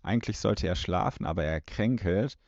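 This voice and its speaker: A man in his thirties speaks in a very low voice as a podcast co-host. He moves between chat and reading aloud. The recording is a little dull, made in a room at home. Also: expressive, normal speed, creaky, darkish tone, no room sound. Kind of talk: podcast talk, partly reading a plot blurb.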